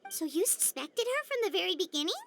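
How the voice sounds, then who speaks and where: A woman voicing a small, childlike fairy companion in a video game, chirpy and loyal, with a squeaky, exclamatory delivery. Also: big pitch swings, fast talker, gravelly, a bit bright, a little hiss or hum, full character voice. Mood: astonished, curious. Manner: exclamatory, refers to herself in third person. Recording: music behind the voice.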